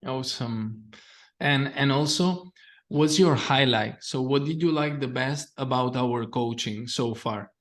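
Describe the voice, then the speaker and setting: A man's voice: medium pitch, takes it slowly, a bit bright. A man, a language coach, interviewing a client and encouraging him with short backchannels. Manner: encouraging, frequent brief backchannels. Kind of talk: interview host.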